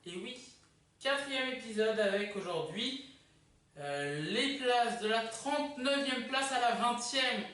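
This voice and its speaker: A YouTube host casually presenting a countdown video in a chatty, halting way. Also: mid-range voice, expressive, pushed and bright. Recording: echoey room, distant microphone.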